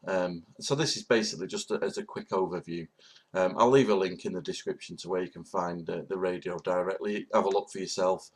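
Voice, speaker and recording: Deep-voiced man reviewing casually. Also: breathy edge. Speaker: product reviewer. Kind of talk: casual unscripted product review.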